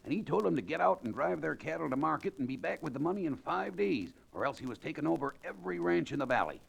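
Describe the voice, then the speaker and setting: A very gravelly American henchman in an old radio western. He is slow-witted and excitable, with a lively, swooping husky delivery. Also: a little high, heavily acted. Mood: astonished.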